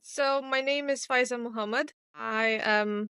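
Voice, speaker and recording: Smooth-voiced young woman, chatty guest. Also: on the high side, fairly even intonation, a little slow, bright, forceful tone, dry recording, studio quality. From Romania. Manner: open and chatty, frequent fillers. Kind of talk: casual interview chat.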